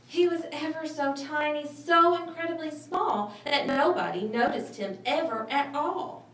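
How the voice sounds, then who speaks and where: A woman reading a children's story aloud with expressive, dramatic phrasing. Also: sits high, unhurried. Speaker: storybook reader. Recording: roomy, distant mic, faint noise.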